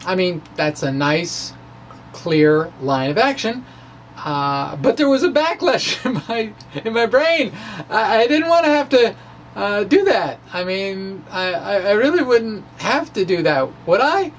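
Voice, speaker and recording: A man's voice: high voice, big pitch swings, a little slow, a little hiss or hum. A man telling his own science story from a blog in a conversational, hesitant way, with wry, self-deprecating humor. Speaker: amateur physicist and blogger. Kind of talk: dramatic reading of a personal science blog.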